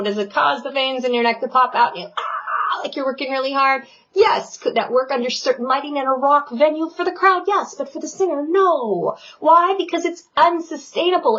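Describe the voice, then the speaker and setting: A woman teaches singing in an online lesson, loud and animated, with a playful edge. Her voice sits fairly high. The recording sounds a little dull and lo-fi. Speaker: vocal coach.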